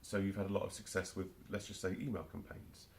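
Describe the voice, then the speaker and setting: Interview guest, forties, London accent, low gravelly voice, halting delivery. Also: male voice, little pitch movement. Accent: London English. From England. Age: 40s. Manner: hesitant, many restarts and fillers. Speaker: marketing automation specialist, guest. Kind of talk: casual interview answers.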